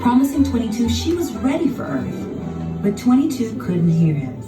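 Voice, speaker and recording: A woman narrating a children's storybook in an expressive, dramatic scripted style. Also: fairly high pitch, moderate pace. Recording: roomy, distant sound with music behind.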